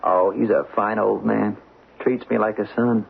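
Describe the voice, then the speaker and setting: An actor playing a loyal, trusting American employee in an old-time radio drama, casual, earnest and puzzled. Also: male voice, lowish voice, steady tone, measured pace, creaky, husky, heavily acted. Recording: muffled old radio recording.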